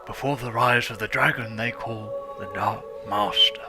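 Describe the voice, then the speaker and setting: A man voicing a wise old male fire dragon mentor, telling the legend in a solemn, measured way, with music underneath. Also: on the low side, fairly even intonation, lightly acted. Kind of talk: dramatic script reading.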